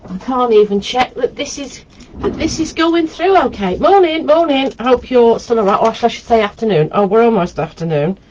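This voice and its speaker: A woman's voice: a little high, lively intonation, brisk, a little mellow, slight background noise. Lady with a head cold, sounds all nasal, rambly chatty live stream from home, kinda muffled audio. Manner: chatty and meandering, with many ums. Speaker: holistic therapist hosting a weekly live video.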